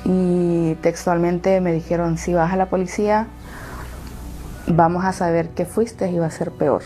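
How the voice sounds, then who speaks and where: A woman in her thirties with a Salvadoran accent, giving personal testimony in an interview and pausing between phrases. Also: on the low side, little pitch movement, warm tone, faint music behind. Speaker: interviewee telling her own story.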